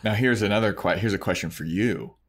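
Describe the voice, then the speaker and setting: Male podcast host, low-pitched voice, informal conversational delivery. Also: brisk. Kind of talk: casual chat about a TV show.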